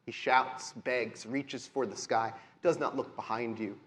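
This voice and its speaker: A man game-mastering a live tabletop game, narrating in deliberate, dramatic phrases. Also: medium pitch, steady tone. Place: live convention stage show.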